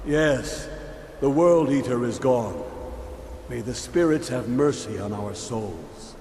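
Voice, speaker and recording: A man playing a grave, resolute old Nord sage in a video game, with measured, declamatory, archaic phrasing. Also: medium pitch, animated. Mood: somber. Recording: echoey reverberant hall, loud background noise.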